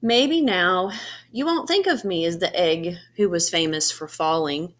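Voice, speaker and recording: An earnest storybook egg read aloud by a woman, anxious but determined. The delivery is measured, with dramatic emphasis and pauses, telling its own tale expressively. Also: on the low side, fairly bright tone. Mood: triumphant.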